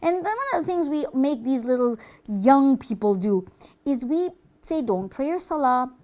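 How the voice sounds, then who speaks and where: Casting: cheeky devil played by a woman for a puppet show, high voice, very animated, boastful and full of wisecracks. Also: normal speed. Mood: boastful, complaining. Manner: brash, wisecracking, exclamatory. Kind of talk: comic educational puppet show.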